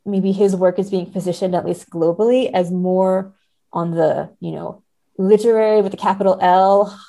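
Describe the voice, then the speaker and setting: A woman's voice: mid-range voice, fairly even intonation, normal speed, gravelly, warm tone. A woman talking through literary ideas in conversation as a podcast guest, thinking aloud and often hedging her points. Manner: hesitant, hedging, thinking aloud, seeking agreement with "right?".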